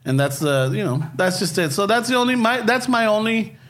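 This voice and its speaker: A man's voice: high voice, lively intonation, brisk, fairly bright tone. A man co-hosting a casual podcast, slangy and sweary, mixing in Spanish. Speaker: podcast co-host. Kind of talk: casual chat.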